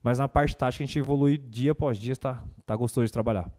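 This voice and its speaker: Young man with a mellow voice, press room. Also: mid-range voice, fairly even intonation, fast talker, a little hiss or hum. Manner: casual, repeats words and fillers. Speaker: footballer at a press conference. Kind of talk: press conference answers. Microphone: desk microphone.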